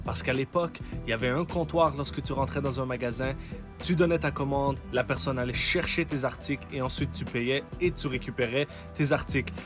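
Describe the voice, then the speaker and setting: A man's voice: medium pitch, a little fast. Arabic-speaking man vlogging over music. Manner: explanatory, rhetorical questions. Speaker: entrepreneurship vlogger. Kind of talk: talking to camera about business. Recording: music playing underneath. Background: background music.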